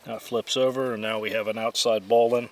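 A man's voice: lowish voice, little pitch movement, brisk. A middle-aged man giving methodical, plainspoken how-to instruction outdoors as he demonstrates gear. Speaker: host and demonstrator.

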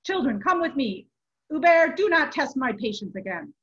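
A woman voicing a huge, commanding monk in a storybook, loud, forceful and scolding. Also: big pitch swings, normal speed, muffled recording. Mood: stern, scolding. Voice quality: booming, forceful. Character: huge fiery Italian monk, booming, furious, commanding old prior.

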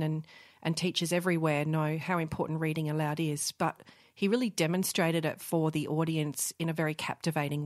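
A woman's voice: on the low side, dry recording, clean studio sound. An Australian woman, a teacher and podcaster, speaking in an earnest, articulate way.

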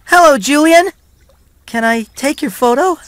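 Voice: sits high, a little slow. An actor voicing a polite, earnest little male mouse hero in a game, neatly spoken and eager. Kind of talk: scripted game cutscene dialogue.

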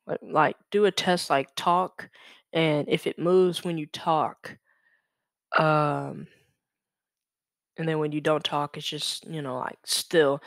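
A young man from Louisiana hosting a casual, unscripted screen-share tutorial, halting and correcting himself as he goes. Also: a little high, fairly bright tone, no echo, studio quality. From Louisiana, United States. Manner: hesitant, halting, self-correcting. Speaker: tutorial host. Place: indoors at a computer. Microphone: USB microphone.

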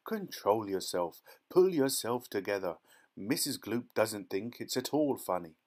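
A man's voice: fairly low pitch, lively intonation, unhurried, darkish tone. A man voicing an eccentric, gleeful chocolate maker in a children's storybook, breezy, emphatic and theatrically reassuring. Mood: brisk ordering.

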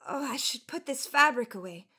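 Young 1910s college woman, earnest, proud, higher-pitched, careful formal elocution, radio drama. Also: animated, moderate pace, bright, forceful tone, husky, full character voice. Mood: gracious. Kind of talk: period radio drama acting.

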